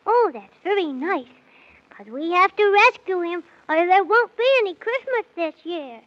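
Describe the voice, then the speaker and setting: A woman's voice: extremely high pitch, animated, normal speed, dry recording. A woman playing a polite, earnest six-year-old boy in a 1930s children's radio serial. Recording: dull vintage 1930s radio recording.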